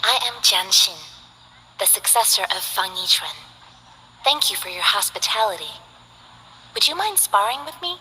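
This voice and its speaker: A woman's voice: medium pitch, animated, moderate pace, long pauses, slight background noise, poor audio. An actress playing a composed, earnest young female Taoist martial artist in a game. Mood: polite. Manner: composed, earnest, gently formal.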